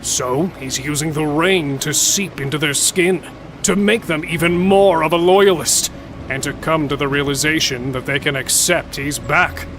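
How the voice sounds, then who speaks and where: A man playing an irreverent smith and lore keeper explaining dark history in a fantasy audio drama. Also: a little high, normal speed, noisy background, close to an everyday voice. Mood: grave, explaining.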